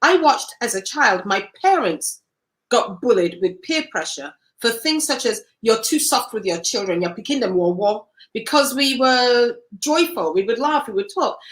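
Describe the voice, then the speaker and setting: A woman's voice: a little high, animated, normal speed, rough recording. A woman from Cameroon, an interview guest telling her story in an earnest, reflective way.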